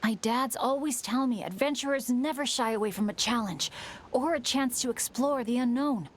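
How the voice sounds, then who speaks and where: A woman voicing an earnest, selfless teenage boy adventurer in a video game, boyish and halting. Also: on the high side, slight background noise, natural voice. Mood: earnest, resolute. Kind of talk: video game character acting.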